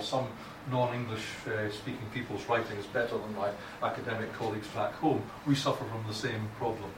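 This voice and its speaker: A Scottish man giving a lecture on academic writing, blunt and informal, often restarting his sentences. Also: fairly low pitch. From Scotland. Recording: echoey room from far off, noisy.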